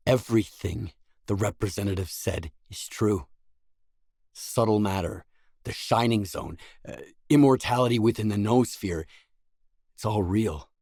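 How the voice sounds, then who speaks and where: A man playing a grim, world-weary lone stalker in a video game, terse and brooding, with a husky voice. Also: on the low side, a little mellow, no echo, studio quality. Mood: serious, earnest. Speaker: game character.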